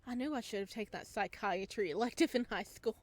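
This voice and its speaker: A woman's voice: a little high, quick pace. A woman reading story text from a visual novel aloud as a gameplay host, adding her own asides.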